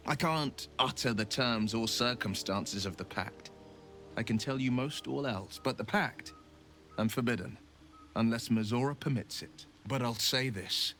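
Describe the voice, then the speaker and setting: A man's voice: mid-range voice, unhurried, a bit bright, faint music behind. A man playing a horned, pact-bound warlock hero in a video game, speaking with solemn, eloquent, theatrical earnestness. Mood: solemn, earnest. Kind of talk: dramatic video game acting.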